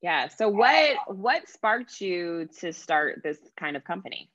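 A woman's voice: fairly low pitch, sing-song, takes it slowly, fairly bright tone, dull audio. A woman hosting a lifestyle interview segment with an upbeat, eager manner.

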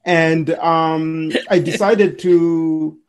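Guest, male, cheerful storyteller, stumbles and restarts, lightly higher pitch. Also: steady tone, very slow, a little hiss or hum. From Zimbabwe. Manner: upbeat, chuckling, restarts words, clears throat. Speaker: podcast guest, entrepreneur. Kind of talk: casual storytelling interview.

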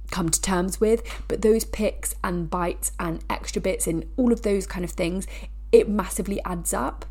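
A woman's voice: lowish voice, a little mellow. A woman hosting a fitness podcast, speaking casually with a stuffy nose from a cold, chatty and prone to restarting her sentences. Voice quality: nasal. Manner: chatty, frequent restarts and asides. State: blocked sinuses, nasal from a cold. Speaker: podcast host. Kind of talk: casual educational podcast talk.

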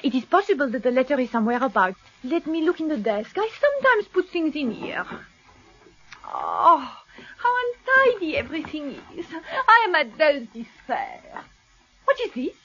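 A woman's voice: high-pitched, wildly swooping pitch, moderate pace, fairly bright tone, highs cut off, heavily acted. An actress playing an elegant, flighty French kept woman with a theatrical French accent in a radio drama. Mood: airy, searching. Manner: theatrical, coquettish.

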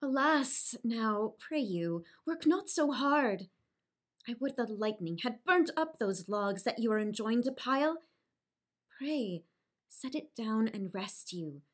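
A gentle, smitten young island maiden, played by a woman on the Shakespearean stage. She speaks in a fairly high voice at a very slow, careful pace, earnest and pleading in every line. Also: lively intonation. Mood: concerned, pleading. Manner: earnest, pleading, measured verse delivery. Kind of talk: theatrical Shakespearean stage acting.

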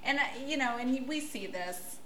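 Woman, outspoken, blunt, bright, roomy echo. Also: high-pitched, expressive, normal speed, a little hiss or hum. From United States. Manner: loud, outspoken, blunt, laughing. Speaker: podcast guest. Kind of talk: casual podcast chat. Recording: echoey room.

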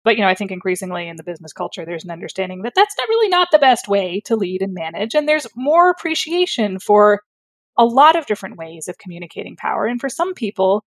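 A woman's voice: medium pitch, very animated, fast talker, no echo. A woman speech therapist explaining her work in a thoughtful, articulate way, with fillers and self-corrections. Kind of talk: conversational explanation.

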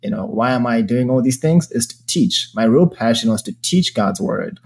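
A man's voice: mid-range voice, expressive, moderate pace, breathy edge. A man from Zimbabwe hosting a podcast, sharing his faith in casual, earnest talk. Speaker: podcast host.

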